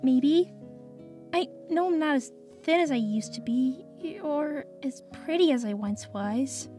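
A woman voicing a sweet, devoted, excitable young female cartoon hedgehog in a comic dub, with girlish, emotional, dramatic delivery. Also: high voice, a little slow, soft background music. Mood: soft, coy.

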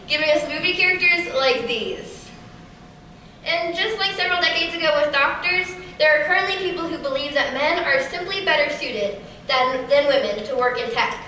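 Teen girl speaker, high bright voice, booming hall, mic heard from far back. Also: a little hiss or hum. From Kansas City area. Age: teens. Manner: steady, rehearsed delivery. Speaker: keynote speaker. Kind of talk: prepared conference talk. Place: large auditorium.

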